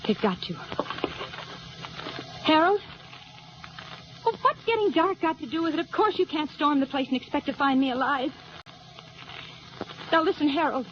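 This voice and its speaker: An actress playing a brave, earnest young hostage in a radio crime drama, pleading and hesitant. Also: female voice, sits high, animated, normal speed, long pauses. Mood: urgent, pleading. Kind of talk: old-time radio drama acting. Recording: dull old radio recording, faint noise.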